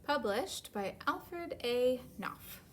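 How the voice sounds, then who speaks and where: High, lively woman hosting children's story time. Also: slow pace, a little hiss or hum. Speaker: library story time host. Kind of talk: children's picture-book read-aloud and casual talk to viewers.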